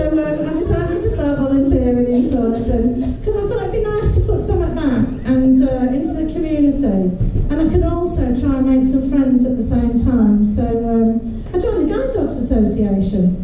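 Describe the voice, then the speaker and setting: Manchester woman in her 40s doing deadpan stand-up, fairly high voice, booming room, noisy. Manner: dry, deadpan delivery with crude punchlines. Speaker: stand-up comedian. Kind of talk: stand-up comedy set. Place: comedy club stage. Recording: echoey club room, distant mic.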